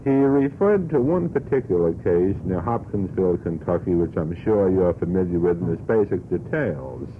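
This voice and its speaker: A man's voice: low voice, lively intonation, normal speed, few pauses. A man on an unscripted radio panel, speaking in a formal, wordy, opinionated way on an old broadcast recording. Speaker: radio panelist questioning a guest. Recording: tinny, noisy vintage radio broadcast.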